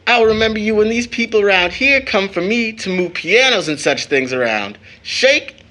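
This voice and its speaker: A bright young man with very lively, swinging intonation. He is good-natured and jovial, teasing his way through an audio drama. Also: sits high, normal speed.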